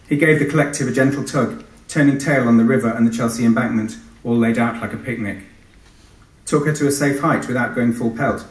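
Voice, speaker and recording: A man with a British accent, an author giving a live literary reading. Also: mid-range voice. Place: live event in a hall. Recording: echoey hall, distant microphone.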